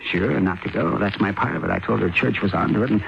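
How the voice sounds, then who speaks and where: An actor playing a frightened, guilt-ridden male informant, agitated, halting and pleading, in an old-time radio drama. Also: fairly low pitch, gravelly, background noise. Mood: agitated, guilty. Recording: muffled lo-fi old radio recording.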